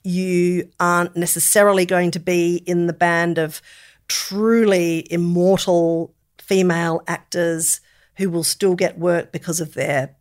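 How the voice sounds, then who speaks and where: Low-pitched woman chatting casually. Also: fairly even intonation, unhurried. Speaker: podcast co-host. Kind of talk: casual chat about films.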